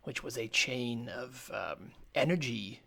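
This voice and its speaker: A man voicing a hesitant, stammering scholar of the future, speaking formally and in hushed tones in an improvised comedy sketch. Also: mid-range voice, animated, slow pace, exaggerated voice. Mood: conspiratorial, hesitant. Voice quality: hushed, creaky. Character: stammering male scholar of the future whispering furtively in library.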